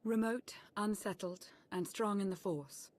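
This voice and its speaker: An actress playing a composed, assured founder of a galactic alliance in a video game cutscene. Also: female voice, medium pitch, a little slow, darkish tone, close to an everyday voice. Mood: calm, assured.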